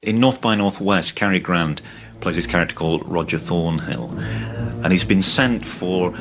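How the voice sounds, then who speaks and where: A man's voice: sits low, animated, normal speed, music in the background. A man speaking as a film commentator, recalling and describing in a documentary interview.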